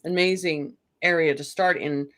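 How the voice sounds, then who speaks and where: A woman's voice: low voice, steady tone, normal speed, fairly bright tone. Woman telling stories in rambling conversation. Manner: rambling, peppered with "you know". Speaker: interview guest. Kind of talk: casual conversational storytelling.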